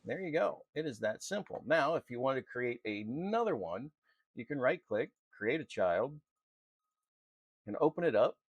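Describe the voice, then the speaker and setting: A man presenting an unscripted software tutorial in an informal, halting, step-by-step way. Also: mid-range voice, sing-song, husky, dull audio. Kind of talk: unscripted screen-recorded walkthrough.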